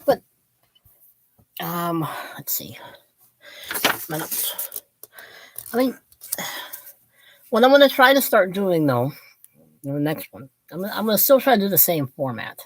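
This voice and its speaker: A woman's voice: fairly low pitch, sing-song, a little slow, pauses often. A woman in her late fifties giving a casual, opinionated book talk to viewers, drifting off on tangents in a self-deprecating way. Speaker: book vlogger.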